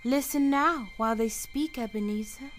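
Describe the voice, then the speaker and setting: A woman plays a solemn female ghost of the past in a radio drama. The voice is fairly high, deliberate and commanding, with music underneath. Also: close to an everyday voice. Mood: stern, relentless. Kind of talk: radio play acting.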